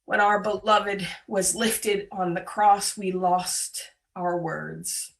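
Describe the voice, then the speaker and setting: A woman voicing a grieving, devoted female disciple of Jesus in a dramatic worship monologue, sorrowful and deliberate, over a video call. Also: mid-range voice, fairly even intonation, vocal fry. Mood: grieving, resolute. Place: remote, on a Zoom call. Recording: distant microphone, video call audio.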